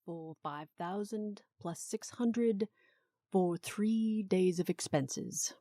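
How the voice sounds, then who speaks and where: An actress playing a stern, no-nonsense city official in an audio drama, with a curt, deadpan manner. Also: female voice, mid-range voice, slow pace, no echo, clean studio sound, lightly acted. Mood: cool, stern.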